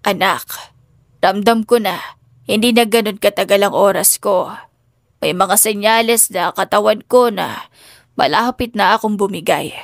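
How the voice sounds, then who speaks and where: A woman voicing an ailing, gentle old father and grandfather in a tender, solemn way, in an audiobook drama. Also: medium pitch, measured pace, long pauses, no echo, studio quality, natural voice. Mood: weary, remorseful.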